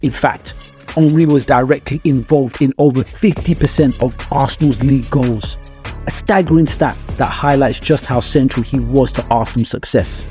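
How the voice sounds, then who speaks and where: Mellow-voiced man narrating sports analysis over music. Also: medium pitch, moderate pace. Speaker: video narrator.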